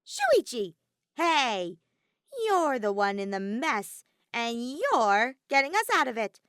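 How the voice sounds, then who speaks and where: A woman's voice: on the high side, big pitch swings, takes it slowly, a bit bright, clean tone, no echo, studio quality, voice pushed up, heavily acted. A woman voicing a bratty, exasperated male robotic teddy bear villain in a fan fiction audio reading, theatrical and whiny. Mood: demanding. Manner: theatrical, whiny, griping outbursts.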